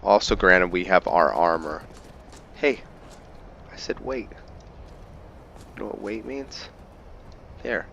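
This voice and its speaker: A man's voice: low-pitched, animated, takes it slowly, vocal fry, long pauses, bright, forceful tone, lo-fi sound, a little hiss or hum. A man doing casual let's play commentary, thinking aloud to his viewers and slipping into roleplay. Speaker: gaming video host.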